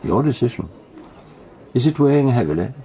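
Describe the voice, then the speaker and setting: A British actor playing a shrewd, measured amateur detective in a radio drama, dry and probing as he questions and corrects. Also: medium pitch, big pitch swings, mellow, lightly acted.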